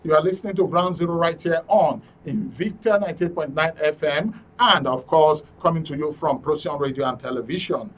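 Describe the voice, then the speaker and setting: A man's voice: fairly high pitch, a little fast, hardly any pauses, recorded from a distance. A man with a Nigerian accent hosting a live call-in talk show on radio and TV from a studio. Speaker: radio and TV host. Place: broadcast studio.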